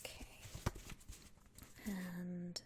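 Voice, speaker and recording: Slow, whispering ASMR woman. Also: lowish voice, monotone, noisy background. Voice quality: breathy, mostly whispered. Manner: soft-spoken, gentle, attentive customer-service patter. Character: polite, attentive female vampire coffin-shop consultant. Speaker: ASMR roleplay performer, playing a shop consultant. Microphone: close ASMR microphone.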